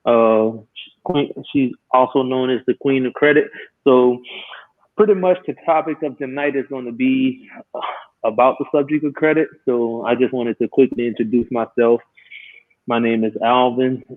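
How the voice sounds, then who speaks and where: A man in his twenties, a guest, introducing himself in a relaxed, hesitant way with many fillers. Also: mid-range voice, normal speed, dry recording. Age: 20s. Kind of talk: casual self-introduction on a call. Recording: tinny dry phone line.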